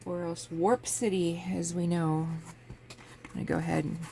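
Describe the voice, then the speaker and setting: Sniffly, gravelly-voiced woman crafting. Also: fairly low pitch, moderate pace. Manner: chatty, relaxed, explains as she works. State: sniffly from a cold. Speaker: crafting channel host. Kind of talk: casual craft tutorial. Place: home craft table with a fan running. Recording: faint fan noise in background.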